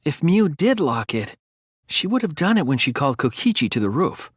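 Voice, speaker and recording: A man's voice: on the high side, very animated, moderate pace, slightly rough, dry recording, clean studio sound. An actor playing an earnest young male detective student in a video game, reasoning carefully step by step. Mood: earnest, analytical. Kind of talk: video game voice acting, deductive explanation.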